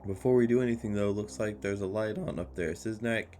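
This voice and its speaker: A man's voice: fairly low pitch, fairly even intonation, a little fast, dark tone, slight background noise, natural voice. A man reading a visual novel's part of a scheming small-time robber, eager for gold, in a slangy, conspiratorial way. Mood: bossy, scheming.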